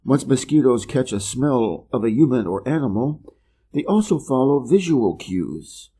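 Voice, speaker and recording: A man from Wisconsin with an American Midwest accent, reading an article slowly and carefully for English learners. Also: mid-range voice, very animated, dark tone. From Wisconsin, USA. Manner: measured and deliberate, clearly pronounced. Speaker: reader of an English learning channel. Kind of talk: slow, careful reading aloud.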